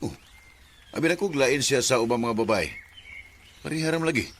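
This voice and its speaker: A man's voice: medium pitch, moderate pace, loud music behind the voice, close to an everyday voice. A man acts a young male buddy in a Bisaya radio drama, blunt and full of teasing. His voice sits in a middle register. He speaks with a Filipino Bisaya accent.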